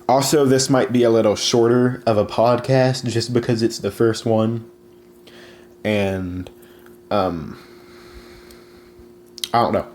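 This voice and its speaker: Rambling young man, low voice. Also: darkish tone, a little hiss or hum. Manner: rambling, many fillers and restarts. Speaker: podcast host. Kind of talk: casual solo podcast talk.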